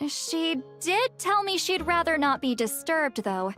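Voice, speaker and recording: A woman's voice: very high-pitched, sing-song, a little slow, fairly bright tone, soft background music, exaggerated voice. An actress playing a reserved, frank young woman in a video game, speaking in a casual, slightly hesitant way.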